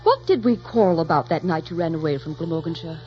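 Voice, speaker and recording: An actress playing a poised, teasing young noblewoman in a 1940s radio romance, coolly playful and gently mocking. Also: sits low, animated, fast talker, faint music behind. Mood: tender. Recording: dull, noisy 1940s radio recording.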